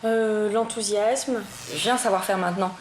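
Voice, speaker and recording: A woman's voice: mid-range voice, fairly even intonation, normal speed. Woman interviewing in an echoey, noisy room. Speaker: job candidate. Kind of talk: job interview answers.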